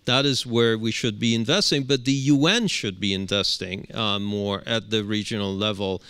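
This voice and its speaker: Middle aged guy on a panel, lots of uhs, smooth voice, handheld mic. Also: fairly low pitch, fairly bright tone, dry recording. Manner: halting, many fillers and restarts. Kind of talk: unscripted panel remarks. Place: conference room.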